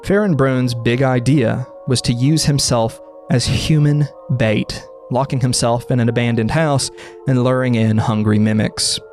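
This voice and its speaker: A man narrates a horror explainer in character, reading from a script. His pitch sits in the middle of the male range. Music plays faintly behind him. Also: steady tone, a little slow, darkish tone.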